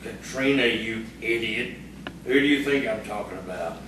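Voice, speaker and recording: A man acting in audio theatre as a jealous, hot-tempered country bully and scheming ringleader, gruff and blustering. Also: lowish voice, moderate pace, lightly acted. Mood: angry, jealous. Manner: gruff, blustering, rustic phrasing. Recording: echoey, distant, noisy stage recording.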